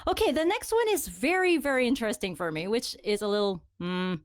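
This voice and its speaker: A woman from the Philippines chats casually as a podcast co-host. Her voice is somewhat high, and she explains things conversationally, doubling back on words. The recording is dry and free of room sound. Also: animated, brisk, dry recording. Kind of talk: casual chat.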